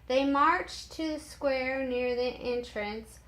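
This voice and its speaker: A woman's voice: sits high, slow, fairly bright tone, low-quality recording. A woman who reads children's stories as a teacher, in an instructive way with casual explanatory asides. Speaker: children's story reader and teacher. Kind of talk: read-aloud storytime with casual asides.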